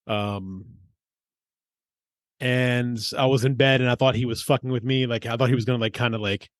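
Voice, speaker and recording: Middle aged guy chatting on a podcast, mid pitch, swears a lot, really dry sound. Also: dry recording. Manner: profane, informal. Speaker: podcast co-host. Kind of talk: casual chat and personal storytelling.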